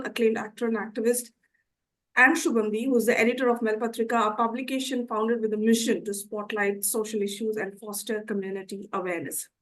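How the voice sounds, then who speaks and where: A woman with a croaky voice, hosting a formal community interview and introducing her guests politely. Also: a little high, fairly bright tone, low-quality recording. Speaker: interview host.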